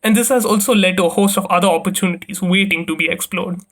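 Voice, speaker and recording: High-voiced young Indian student presenting. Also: male voice, steady tone, fast talker, fairly bright tone. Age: young adult. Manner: formal, rehearsed, reading from a script. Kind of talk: prepared class presentation.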